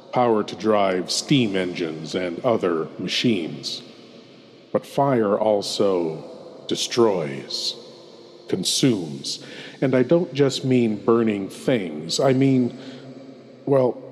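A man performing as a suave, sinister lord who hosts horror shows, with theatrical, intimate, deliberate narration. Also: lowish voice, a little mellow, a little hiss or hum. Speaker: show host. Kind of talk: scripted horror-host narration. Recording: long hall reverb.

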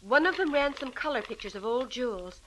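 A woman's voice: high-pitched, expressive, brisk, a bit bright, slight background noise, poor audio. A woman acting in a 1940s-style radio crime drama as a shrewd, covetous wife with an insistent, probing manner. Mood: intrigued, covetous. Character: shrewd, sharp-questioning wife of a killer, covetous.

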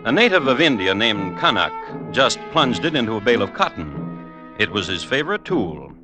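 A man hosting and narrating in 1950s radio style, with a dry, droll, deliberate, mock-formal delivery. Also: fairly low pitch, sing-song. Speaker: host and narrator. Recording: old radio recording with music behind. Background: music.

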